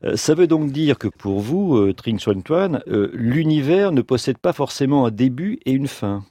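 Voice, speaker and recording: A man's voice: medium pitch, lively intonation, normal speed, dark tone, no echo. A man hosting a radio interview, introducing his guest in a conversational way with light jokes, in a studio. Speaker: radio host.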